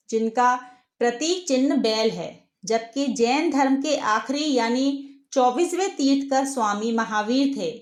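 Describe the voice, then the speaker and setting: A woman reading a scripted educational quiz in an instructional, question-and-answer manner. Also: high-pitched. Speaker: quiz presenter. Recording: roomy, echoing voice-over.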